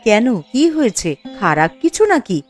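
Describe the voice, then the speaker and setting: A woman's voice: fairly high pitch, big pitch swings, moderate pace, soft background music. A woman voicing a worried young village wife in a cartoon, anxious and fretting. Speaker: cartoon character.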